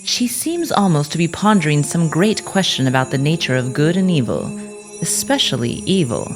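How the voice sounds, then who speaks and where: Low-pitched woman narrating an audio drama. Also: sing-song, moderate pace. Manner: storytelling, theatrical. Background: music.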